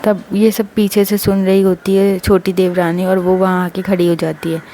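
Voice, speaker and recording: Mellow woman storyteller. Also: mid-range voice, little pitch movement, moderate pace. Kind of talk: story narration. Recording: clear background noise.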